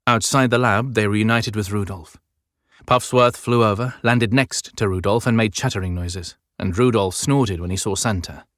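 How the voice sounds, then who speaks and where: A man's voice: on the low side, normal speed, fairly bright tone, no room sound, studio quality. A man reading scripted, dramatic audiobook narration.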